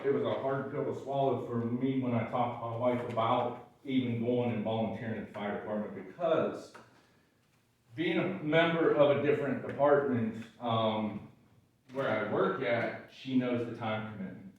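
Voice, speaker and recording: A man with a gravelly voice giving frustrated, emphatic public comment at a county board meeting. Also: mid-range voice, highs cut off, a little hiss or hum. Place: large echoey meeting room. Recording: echoey room, voice far from mic.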